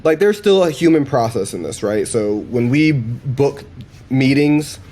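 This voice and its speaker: A man's voice: medium pitch, lively intonation, measured pace, warm tone. A man chatting informally as he presents and explains a demo, conversational and unscripted. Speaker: presenter demonstrating a voice AI. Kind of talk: casual chat, explaining a demo.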